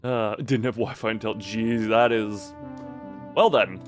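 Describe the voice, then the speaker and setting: A man's voice: fairly low pitch, fairly even intonation, unhurried. Rambling man streaming a game over music. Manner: rambling, half-finished thoughts, playful sound words. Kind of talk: casual gameplay commentary, chatting with viewers.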